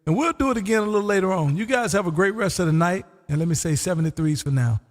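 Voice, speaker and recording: A man hosting a live ham radio stream, chatting casually and folksy, his voice going hoarse. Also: sits high, lively intonation, fast talker, big-room sound. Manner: laid-back, folksy, says "man" often. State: losing his voice. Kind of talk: casual on-air radio chat.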